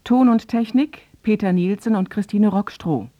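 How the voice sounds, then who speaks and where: A woman reading scripted radio drama credits in a measured, neutral way. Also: mid-range voice, dark tone, dry recording, highs cut off. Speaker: credits announcer.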